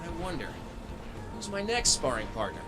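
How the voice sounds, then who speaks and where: A plucky young robot hero from a video game, speaks in a fairly high, very lively voice. He is wry and informal, quick with a quip, and clear music plays behind him. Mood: determined. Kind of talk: video game cutscene acting.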